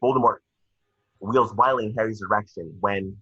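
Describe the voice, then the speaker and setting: A man's voice: on the low side, normal speed, husky, lo-fi sound. A man reading screenplay stage directions as narrator at an amateur table read, occasionally stumbling over the words.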